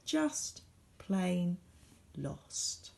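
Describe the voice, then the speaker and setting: A woman reading a children's storybook aloud as a teacher would, with dramatic, varied emphasis and deliberate pauses. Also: on the low side, big pitch swings, vocal fry, warm tone.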